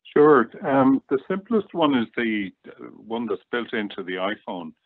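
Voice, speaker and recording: A man as a guest reviewer, explaining casually in a plain-spoken, measured way. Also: mid-range voice, lively intonation, no echo. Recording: tinny phone-like line, very dry.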